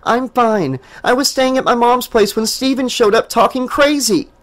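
A man reading an audiobook, voicing a frantic, furious young woman in a raised, strained voice. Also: moderate pace. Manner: high strained pleading and shouting.

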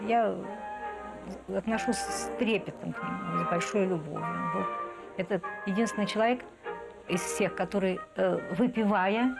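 Middle-aged woman with a very creaky voice. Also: medium pitch, moderate pace, loud music behind the voice, poor audio. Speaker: artist, interviewee. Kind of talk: documentary interview reminiscence. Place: indoor furnished room.

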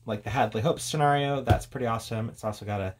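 A man's voice: medium pitch, fast talker, slight background noise. A man giving a casual, off-the-cuff product review in an informal, chatty way as he presents. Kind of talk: casual unboxing and review chat.

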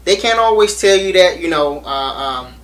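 A young American man vlogging to camera in a small room, hyped and animated, repeating himself as he urges viewers to come. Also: high-pitched, moderate pace, a little hiss or hum. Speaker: reptile channel vlogger. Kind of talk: casual to-camera promo vlog. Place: small indoor room against a plain wall.

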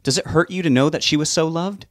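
A man's voice: a little high, fast talker, no room sound, clean studio sound, voice pushed up, close to an everyday voice. A man voicing a grieving young woman who speaks shakily but defiantly.

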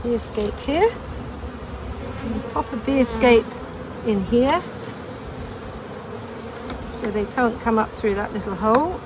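A woman's voice: on the high side, animated, slow pace, pauses often. An older woman beekeeper outdoors in a garden, chatting as she explains each step of her work to the camera. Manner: delighted, exclaiming. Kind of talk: hands-on demonstration vlog. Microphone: camera close by, wearing a bee veil. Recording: outdoor noise with buzzing bees.

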